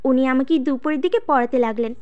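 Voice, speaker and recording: A woman's voice: sits high, fast talker, phone-quality sound, lightly acted. A woman playing a shy, easily piqued student who narrates her own story in a scripted audio drama. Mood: earnest, wistful. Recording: music behind the voice.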